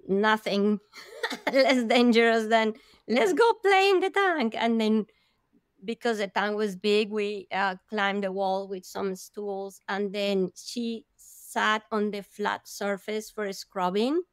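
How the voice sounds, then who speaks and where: A woman's voice: medium pitch, steady tone, takes it slowly, clean tone, no room sound, studio quality. A woman from Colombia, a guest vividly telling her own life story in an interview, with gasps and laughs along the way.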